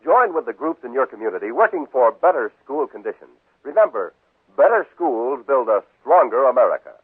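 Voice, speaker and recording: A man's voice: medium pitch, very animated, fairly quick, husky, dry recording. A man announcing on 1950s radio in a formal, declarative scripted style. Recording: muffled 1950s radio broadcast recording.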